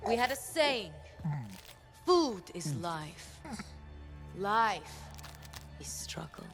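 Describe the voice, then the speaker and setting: A grand, imperious smuggler queen who speaks slowly, each word weighed out. Her voice sits a little low but swoops widely as she commands. A music score plays under her in the game audio. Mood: solemn. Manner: slow, regal and menacing. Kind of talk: video game cutscene.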